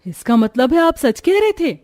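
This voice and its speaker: A woman acts out a middle-aged village housewife in a cartoon tale. She is plain-spoken and skeptical, with a fairly high, homely voice. The recording is dry and close. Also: sing-song, moderate pace, darkish tone, dry recording. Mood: amazed. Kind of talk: animated Hindi moral story dialogue.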